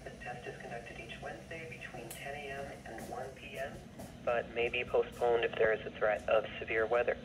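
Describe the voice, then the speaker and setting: A man's voice: medium pitch, little pitch movement, brisk, roomy sound. Man, synthetic weather radio announcer, scripted alert, picked up at a distance, noisy. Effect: weather radio speaker. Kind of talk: scripted emergency alert broadcast. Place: played from radios in a room, picked up by camera. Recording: noisy radio speaker picked up from across room.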